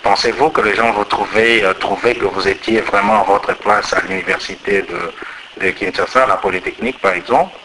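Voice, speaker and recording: A man from the Congo with a Congolese accent, interviewing in a halting way and repeating words mid-phrase as he builds his questions. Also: on the low side, fairly even intonation, noisy background. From Democratic Republic of the Congo.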